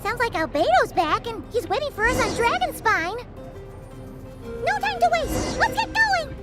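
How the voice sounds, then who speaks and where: Squeaky, tiny fairy sidekick. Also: female voice, very animated, normal speed, fairly bright tone, heavily acted. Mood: eager, excited. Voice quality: squeaky, childlike. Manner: exclamatory, eager. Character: tiny excitable childlike fairy companion, chirpy and eager. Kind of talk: video game voice acting. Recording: background music.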